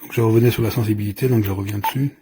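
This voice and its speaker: Male product reviewer, lowish and soft-edged voice, hesitant unscripted explainer, slight background noise. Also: steady tone, racing. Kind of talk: informal hands-on product demonstration.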